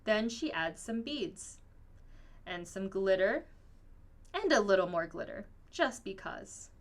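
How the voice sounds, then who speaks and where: A woman reading a children's picture book aloud in an expressive, storytelling manner. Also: mid-range voice, takes it slowly. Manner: expressive, acts out dialogue. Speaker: storybook reader.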